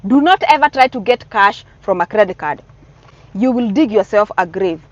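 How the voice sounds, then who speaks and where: A woman's voice: fairly high pitch, no echo. A middle-aged woman from Kenya with a Kenyan accent, earnestly giving casual advice in a vlog, repeating her warnings for emphasis. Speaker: vlogger giving advice to newcomers.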